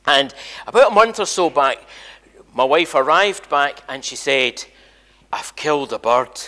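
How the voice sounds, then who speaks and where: Older scottish guy, church minister telling stories to the congregation, bright forceful voice, big echoey hall. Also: a little high, a little slow. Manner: chatty, animated, addressing the congregation. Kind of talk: informal all-age church talk, storytelling. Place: large church sanctuary, indoors.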